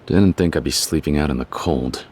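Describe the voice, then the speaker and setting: A man's voice: very deep voice, moderate pace, darkish tone, a little hiss or hum. An actor playing a stoic, terse tough man in a video game dub, with an American accent. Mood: calm, weary. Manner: terse, restrained. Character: stoic, plain-spoken tough man in hiding.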